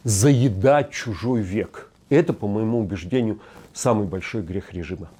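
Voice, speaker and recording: Wry, mellow-voiced male host. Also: on the low side, normal speed, slight background noise. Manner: wry, ironic, reflective. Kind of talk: scripted monologue commentary. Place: hotel room.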